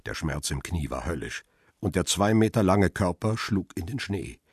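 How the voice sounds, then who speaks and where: Grim husky guy narrating a crime radio play, really deep, dry and close, terse storytelling. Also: animated, moderate pace, dry recording. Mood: grim, tense. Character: middle-aged male photographer Peter Lime, grim first-person narrator.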